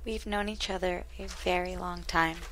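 A woman playing a scornful, pious possessed twin girl with a creaky voice in a horror script read. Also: lowish voice, fairly even intonation, normal speed, natural voice. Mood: cold, scornful. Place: podcast studio. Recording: clear background noise, clicks.

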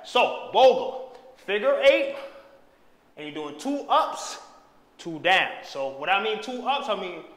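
A man's voice: high voice, takes it slowly, echoey room, big-room sound, rough recording. A young man teaching dance moves, counting beats and calling out steps with energy while he dances, in a bare empty room. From Haitian background. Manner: energetic, hypes and shouts while dancing. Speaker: dance instructor.

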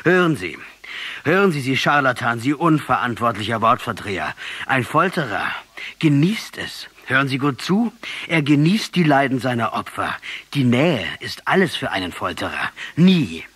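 An actor playing a defiant, passionate, sardonic captured guerrilla commander in a German radio drama, combative and mocking, with a husky voice. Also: male voice, medium pitch, very animated, normal speed, fairly bright tone, no echo. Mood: scornful, heated. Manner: combative, mocking, rhetorical, insistent.

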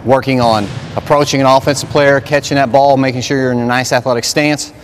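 A man's voice: mid-range voice, steady tone, a little fast, a little hiss or hum, poor audio. A man in his thirties, a plain-spoken sports trainer, giving instructions for a drill in short coaching cues. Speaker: basketball skills trainer and coach. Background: music.

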